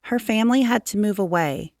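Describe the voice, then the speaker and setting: A woman voicing a gentle female schoolteacher from a children's storybook, explaining things in an unhurried way. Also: mid-range voice, no echo, studio quality, voice pushed up, natural voice. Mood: gentle, earnest.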